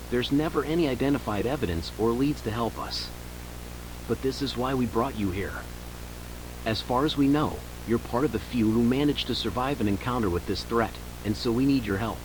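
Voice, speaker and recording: A man's voice: mid-range voice, natural voice. A man playing a composed, formal investigator interviewing a witness in an analog horror audio drama, over hum and hiss. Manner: formal, measured, official.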